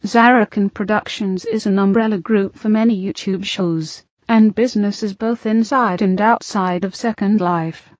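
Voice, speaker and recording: A woman's voice: mid-range voice, no room sound. Woman reading closing credits. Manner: even, formal reading. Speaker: closing announcer. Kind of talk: scripted credits read-out.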